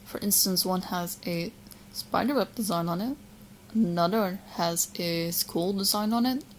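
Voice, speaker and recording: A woman playing a sweet, clingy, lovestruck young witch in a soft, intimate ASMR roleplay, speaking in hesitant, doting phrases. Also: fairly low pitch, measured pace, slight background noise, natural voice, rough recording. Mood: doting, hopeful.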